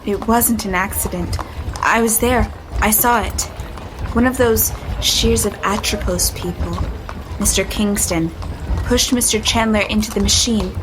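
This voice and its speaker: A woman's voice: mid-range voice, fairly even intonation, unhurried, over music, lightly acted, low-quality recording. An actress playing an earnest, anxious young woman in a period audio drama, hesitant and wistful with proper, old-fashioned phrasing. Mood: shaken, haunted. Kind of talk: period audio drama acting with first-person narration.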